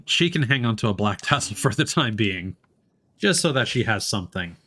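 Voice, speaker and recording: A man's voice: fairly low pitch, very animated, normal speed, bright, forceful tone. A man livestreaming a video game, thinking aloud in a casual, rambling way and swearing now and then. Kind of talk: casual gaming stream chat.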